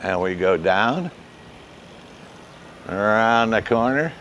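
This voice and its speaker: An older man in his sixties giving a casual step-by-step tutorial outdoors as host, with halting, deliberate delivery. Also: on the low side, lively intonation, a bit bright, highs cut off, slight background noise. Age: 60s. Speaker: host, ham radio hobbyist. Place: outdoors, residential backyard and covered patio.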